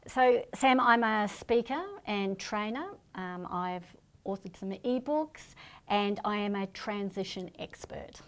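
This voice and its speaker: A middle-aged woman, a speaker and trainer, answering interview questions in a calm, explanatory way. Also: mid-range voice, slow, smooth. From New Zealand family, born in Singapore. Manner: calm, explanatory, self-promoting.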